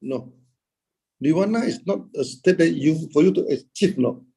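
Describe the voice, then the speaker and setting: A man teaching Buddhism over a video call, in a halting way, filling gaps with "okay" and "uh" and answering his own questions. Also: fairly high pitch, expressive, gravelly, mellow, breathy edge. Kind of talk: dharma talk.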